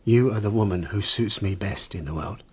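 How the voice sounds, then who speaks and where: A man's voice: fairly low pitch, moderate pace, a little mellow, husky. A man playing an evasive, easily flustered middle-aged husband and father in an audio drama. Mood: guarded, tender.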